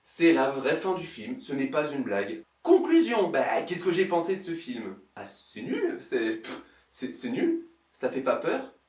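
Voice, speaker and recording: High-pitched sarcastic man reviewing a film. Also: huge pitch swings, measured pace, darkish tone, reverberant, recorded from a distance. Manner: sarcastic, exasperated, colloquial. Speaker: film reviewer, video host. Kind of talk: comedic film review commentary, recapping a plot.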